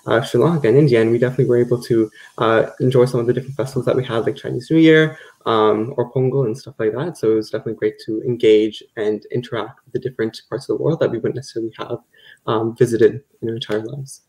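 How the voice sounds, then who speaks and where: A man's voice: mid-range voice, fairly even intonation, fast, darkish tone, rough recording. A young man from Toronto, a university student, speaking fluently in a casual discussion over a video call. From Toronto, Canada. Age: young adult. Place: bright room indoors.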